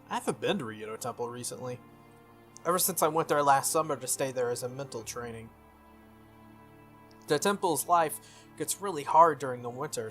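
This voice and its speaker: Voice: mid-range voice, a bit bright. Casual visual novel read-aloud over music. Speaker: video game playthrough host.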